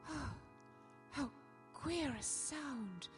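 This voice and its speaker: A woman's voice: high voice, lively intonation, extremely slow, exaggerated voice. A woman playing an eerie, haunted young Victorian woman in a hypnotic trance in a live radio horror drama. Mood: entranced, uneasy. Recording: live hall stage with music behind.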